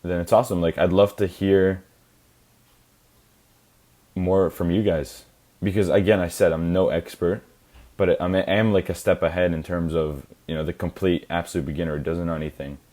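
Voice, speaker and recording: Man, very low pitch, worn-out voice; loose, filler-heavy live lecturer. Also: fairly even intonation, moderate pace, warm tone. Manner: casual, rambling, many fillers and restarts. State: tired, worn-out voice. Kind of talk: informal live lecture to listeners.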